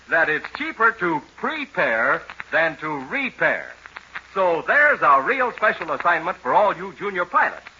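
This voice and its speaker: An American man announcing and narrating a scripted 1940s radio serial, with emphatic, dramatic salesmanship pitched to youngsters. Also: pushed and bright. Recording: dull old radio recording with faint noise.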